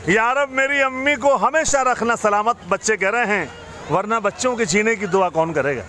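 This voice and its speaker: Middle-aged male entertainer, very high voice, courtly declamatory poetry delivery over clear outdoor din. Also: moderate pace. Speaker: costumed restaurant entertainer reciting poetry. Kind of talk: theatrical Urdu couplet recitation to guests. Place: busy outdoor restaurant courtyard at night. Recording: noisy open-air restaurant, phone recording.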